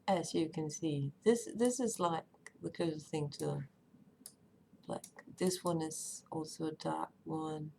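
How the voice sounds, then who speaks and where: A woman with a Danish accent chatting casually on a live stream, often pausing with "um" and "uh" as she searches for words. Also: sits low, vocal fry, darkish tone, a little hiss or hum. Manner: hesitant, many ums and uhs while searching for words.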